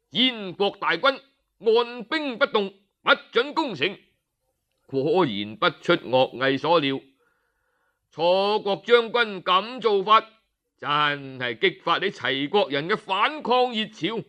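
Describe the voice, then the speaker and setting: A man narrating a historical tale in traditional oral storytelling style, with a measured, dramatic delivery. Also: high-pitched, sharp tone.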